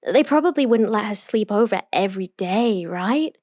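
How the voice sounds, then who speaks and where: A woman playing an obsessive, possessive young yandere in an intimate roleplay audio monologue, telling her story with deliberate emotional intensity. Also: mid-range voice, expressive, a little mellow, no echo, clean studio sound, natural voice. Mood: hushed, brooding.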